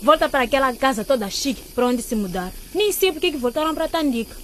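A woman's voice: high voice, expressive, fast talker, slight background noise. An actress playing a mocking, quarrelsome young woman in a radio drama. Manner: taunting, combative.

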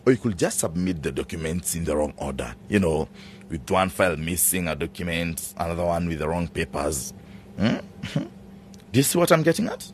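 An actor playing a menacing, scheming farm owner in a radio drama, domineering and theatrical, wheedling one moment and threatening the next. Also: male voice, low voice, very animated, normal speed, a little hiss or hum. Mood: conspiratorial, coaxing.

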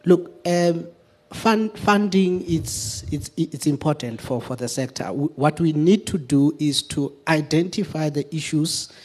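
Hesitant man, slightly high voice. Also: a little slow, darkish tone. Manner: hesitant, stammering restarts. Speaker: forum participant. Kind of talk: impromptu answer at a public discussion.

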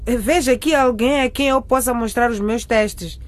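A woman's voice: a little high, normal speed, close to an everyday voice. A woman acting a nosy, sardonic teenage best friend in a radio soap, with a dramatic drawl. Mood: sardonic, resigned.

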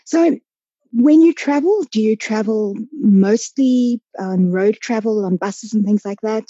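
Mellow woman podcast host. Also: a little high, measured pace, breathy edge, no room sound, muffled recording. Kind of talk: conversational interviewing.

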